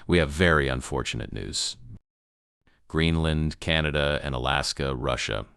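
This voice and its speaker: A man playing a grave emergency news broadcaster in an amateur apocalypse broadcast, gravelly, solemn and halting in his delivery. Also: a bass voice, fairly bright tone, heavily acted. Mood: somber. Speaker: news anchor.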